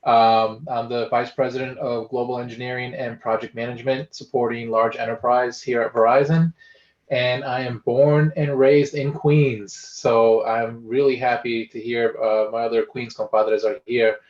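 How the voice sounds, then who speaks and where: A man from New York introducing himself on a video call, friendly and measured. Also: medium pitch, little pitch movement, darkish tone. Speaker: vice president. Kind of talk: casual self-introduction. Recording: dull, echoey room sound.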